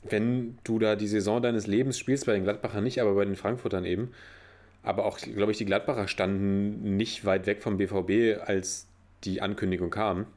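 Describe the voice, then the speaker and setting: Low-voiced man talking football. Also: fairly even intonation, normal speed, a little mellow. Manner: pushes back, asks pointed questions. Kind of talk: casual football talk.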